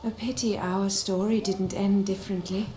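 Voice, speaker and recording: An actress playing a genteel, wistful old widowed countess in a video game, speaking with refined formality and theatrical hesitations. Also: female voice, medium pitch, flat delivery, moderate pace, noisy background, natural voice. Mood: regretful. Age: older. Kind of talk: video game dialogue acting. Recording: roomy echo, music underneath.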